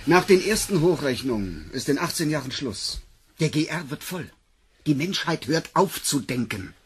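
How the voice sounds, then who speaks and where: An actor playing a precise, irritable scientist in a German sci-fi audio drama, with a clipped, technical delivery. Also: male voice, a little high, big pitch swings, moderate pace, slight background noise. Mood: grave, factual. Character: anxious, precise male scientist, irritable subordinate.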